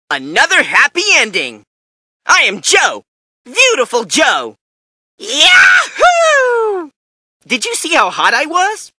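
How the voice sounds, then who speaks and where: A cocky young superhero from a fighting game, with a very high, piercingly bright voice. He is excitable and showy, throwing out brash catchphrases with lively swings in pitch. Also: no echo, studio quality, full character voice.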